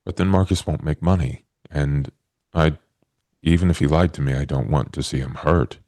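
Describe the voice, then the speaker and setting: A man playing a naive, gentle newborn man in a tabletop roleplay, speaking in simple, earnest, halting phrases. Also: a bass voice, steady tone, no room sound, clean studio sound. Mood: innocent, plaintive. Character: naive newborn man, gentle, childlike, literal-minded and puzzled.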